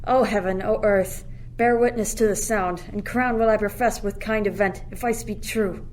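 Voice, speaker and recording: Woman acting devoted young lover, medium pitch, faint background noise, poetic radio drama. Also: fairly even intonation, normal speed, darkish tone, natural voice, low-quality recording. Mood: ardent. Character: earnest, adoring young royal lover, humbly devoted. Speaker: student actor. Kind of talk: Shakespearean radio play acting. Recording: faint noise, ambient sound effects.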